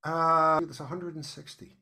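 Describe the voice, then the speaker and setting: A man's voice: a little high, level tone, unhurried, pushed and bright. A man talking conversationally and emphatically as a guest in a podcast interview.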